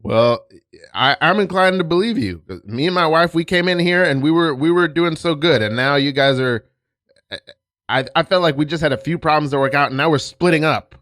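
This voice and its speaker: A man's voice: fairly high pitch, a little fast, no echo, clean studio sound. A man chatting casually and slipping into playful improv scenes. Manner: animated, playfully agitated in scenes. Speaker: improv comedian, podcast guest. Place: radio studio.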